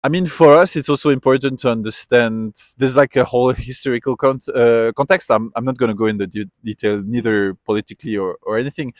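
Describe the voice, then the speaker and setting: A man speaks in a thoughtful, reflective way, pausing and restarting as he gathers his thoughts. His voice sits at a middling pitch and is recorded close and dry, with no room sound, even though he is outdoors. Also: no echo. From Switzerland. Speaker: interviewee, tourist. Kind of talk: casual street interview. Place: outdoors at a memorial site.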